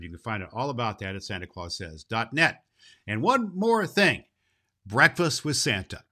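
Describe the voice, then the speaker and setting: A man's voice: medium pitch, pushed and bright. A man playing a jolly, kindly old Santa Claus, hosting a children's show in a folksy, rambling, emphatic way. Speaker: show host.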